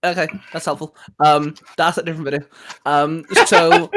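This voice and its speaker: A man co-hosting a fan channel, chatting casually and geekily as he pitches creative ideas. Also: level tone, moderate pace, fairly bright tone, a little hiss or hum.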